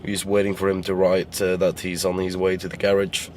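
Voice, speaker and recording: A tired young man with a hoarse, worn-out voice, chatting casually to the camera in a rambling, halting way. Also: deep voice, monotone, a little mellow, slight background noise. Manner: rambling, halting with many fillers. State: hoarse, lost voice. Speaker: vlogger. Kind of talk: casual vlog chat. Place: in a car.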